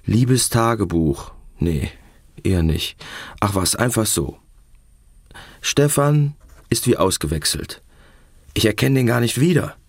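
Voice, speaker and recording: A man's voice: on the low side, expressive, measured pace, pauses often, dry recording, clean studio sound. An actor playing a musing man who confides in his diary, in a radio play monologue.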